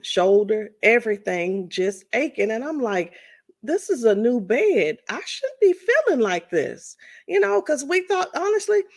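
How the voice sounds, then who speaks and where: A woman in her fifties talking casually to her viewers, chatty and repetitive, often restarting her sentences. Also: medium pitch. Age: 50s. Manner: chatty, repeats herself, addresses viewers as y'all. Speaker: vlogger. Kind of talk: casual testimonial monologue.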